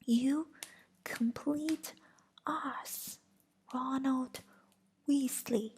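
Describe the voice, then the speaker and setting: A woman voicing a furious, shrill young witch, whispering her lines in a higher pitch. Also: steady tone, extremely slow, a little mellow, a little hiss or hum.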